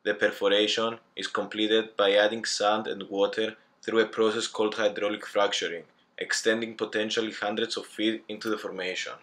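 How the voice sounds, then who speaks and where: A young man reads a student engineering presentation. His voice sits low and stays flat, and he takes each phrase carefully, as if reading from notes. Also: moderate pace, hardly any pauses. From Greece. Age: young adult. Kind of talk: scripted class presentation.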